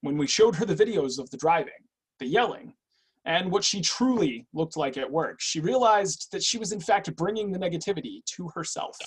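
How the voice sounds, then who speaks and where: Drama reading: man plays calm male assessor, probing and businesslike, a touch high and rough-edged. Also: normal speed, rough recording. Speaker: actor in a screenwriters' readers theatre. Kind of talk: staged script reading.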